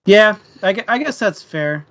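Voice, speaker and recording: A man's voice: high-pitched, expressive, moderate pace, fairly bright tone. A man hosting a book discussion stream, speaking informally to his co-host and the live chat. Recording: dull lo-fi stream, faint noise.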